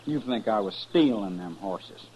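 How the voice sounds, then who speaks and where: A man's voice: lowish voice, animated, normal speed, dark tone, lo-fi sound, lightly acted. An actor playing a defensive, evasive drifter in a radio western, curt and folksy in his speech. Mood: stubborn, evasive. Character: defensive, balky, evasive drifter of the Old West. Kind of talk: 1950s radio western acting. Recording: noisy old-time radio recording.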